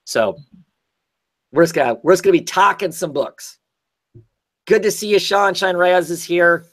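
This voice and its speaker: A man with a somewhat high voice hosts a loose, chatty livestream. His voice is hoarse and scratchy from a recent illness, and he rambles and restarts his sentences. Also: moderate pace, fairly bright tone. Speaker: host. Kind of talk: casual livestream chat.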